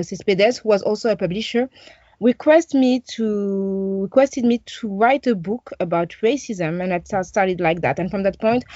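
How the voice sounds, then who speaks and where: A woman in her forties with a French accent answers interview questions, pausing and restarting often as she thinks. Her voice sits at an ordinary pitch. The recording is dry and a bit dull, with little room sound. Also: darkish tone, no echo. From France. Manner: frequent hesitations and restarts, thoughtful. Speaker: interview guest, journalist.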